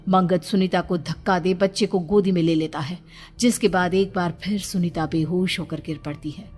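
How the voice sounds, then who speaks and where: A woman's voice: on the low side, steady tone, moderate pace, darkish tone. A woman narrator reading a scripted horror story dramatically, with background music under her voice.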